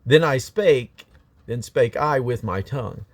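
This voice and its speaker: A man's voice: mid-range voice, expressive, measured pace, no room sound. A man reading scripture aloud in a solemn, emphatic, declamatory way, stressing his phrases. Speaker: Bible reader.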